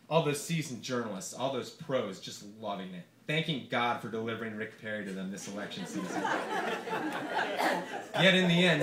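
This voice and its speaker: Higher-voiced man reading comically, echoey noisy room. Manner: theatrical comic delivery, swearing, mimicking quoted speakers. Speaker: author reading own essay aloud. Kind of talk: live reading of a comic gonzo essay. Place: live reading event in a bar, before an audience. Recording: echoey bar room, noisy live recording. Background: audience laughter, room noise.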